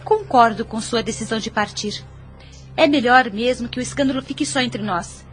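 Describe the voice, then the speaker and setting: Woman acting a high-voiced, principled young niece. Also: moderate pace, a little hiss or hum, lightly acted. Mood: cold, resolute. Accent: Brazilian. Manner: formal, firm, earnest. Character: principled, spirited young niece defending an orphan. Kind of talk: audio drama acting in formal Portuguese.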